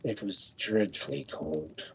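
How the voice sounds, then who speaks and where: A man reading a fairy tale aloud as a storyteller, dramatic and expressive, drawing out phrases for effect. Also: deep voice, slow pace, a little hiss or hum.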